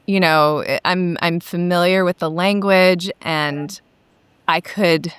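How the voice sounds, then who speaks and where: A woman's voice: fairly low pitch, fairly even intonation, slow pace, a bit bright, clear, resonant tone, no echo. A woman who grew up in Hawaii, a yoga teacher guest telling her story in an articulate, chatty way. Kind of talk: interview conversation.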